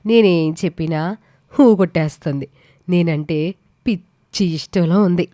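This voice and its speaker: A woman's voice: lowish voice, measured pace, warm tone, no echo, clean studio sound, lightly acted. A woman playing a cunning, smug village schemer with a confident, conniving manner in a moral story.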